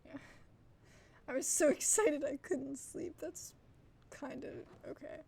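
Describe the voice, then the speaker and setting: A woman's voice: sits high, slow pace, creaky, a little mellow. A woman hosting a gaming video with casual playthrough commentary, informal and wry, often trailing off into muttered asides.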